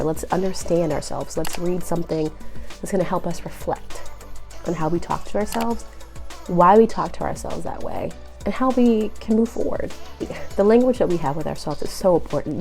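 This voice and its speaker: Raspy woman with a cold. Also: fairly low pitch, warm tone. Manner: earnest, encouraging, addresses viewer as boo. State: sick with a cold, nasal and raspy. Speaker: relationship advice vlogger. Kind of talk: direct-to-camera advice vlog.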